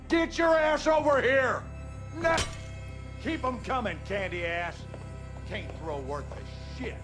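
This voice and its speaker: An actor playing a cruel, bigoted middle-aged father with a Southern American accent, menacing and sneering in a video game cutscene. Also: high voice. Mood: taunting, furious. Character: cruel, abusive, bigoted father, bullying his young son. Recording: loud music over the voice.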